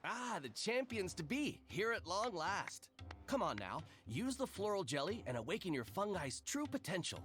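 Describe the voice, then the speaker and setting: Casting: showy male event attendant, highish voice, very animated, courteous, game music behind. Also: moderate pace. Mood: grand welcome. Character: courteous, showy male tournament staffer, eagerly welcoming contestants. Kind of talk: video game voice acting.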